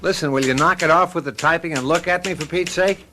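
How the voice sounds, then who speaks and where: An actor playing a brash, irritable advertising copywriter in a radio drama, blunt and insistent. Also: male voice, fairly high pitch, quick pace, background noise, close to an everyday voice. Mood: exasperated.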